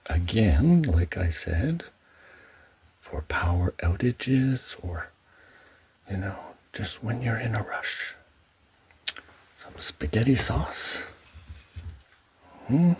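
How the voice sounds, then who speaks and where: A man giving a soft-spoken ASMR home tour in a hushed, halting way, often slipping into a whisper. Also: on the low side, lively intonation, creaky, husky. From Canada. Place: indoors at home, in a pantry.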